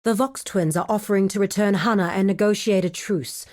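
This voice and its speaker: A woman's voice: mid-range voice, a little mellow, lightly acted. Woman playing a composed, shrewd underworld fixer. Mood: regretful, worried. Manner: measured, formal, articulate storytelling delivery. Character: composed, shrewd woman of a criminal guild, guarded and wry. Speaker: video game quest-giver character. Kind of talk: scripted video game dialogue.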